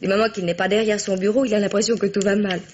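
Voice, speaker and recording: Actress as a breathy, earnest young granddaughter. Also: female voice, mid-range voice, level tone, fast, darkish tone, dull audio, close to an everyday voice. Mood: animated. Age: young adult. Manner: polite, earnest, hesitant at times. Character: earnest, well-bred young engaged granddaughter, loyal and spirited. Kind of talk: French radio drama acting.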